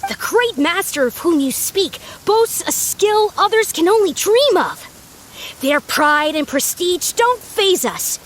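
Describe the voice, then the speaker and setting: A woman's voice: very high voice, lively intonation, takes it slowly, exaggerated voice. A woman voicing an earnest, devoted young beetle-battle master in a video game, speaking in formal, grandiose phrases. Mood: proud, determined. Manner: formal, grandiose, orderly wording. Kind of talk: animated video game voice acting.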